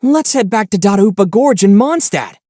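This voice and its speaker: A man playing a calm young adult traveler hero in a video game, with a playful, teasing and wry way of talking. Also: sits high, normal speed, no echo, clean studio sound. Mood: wry, helpful.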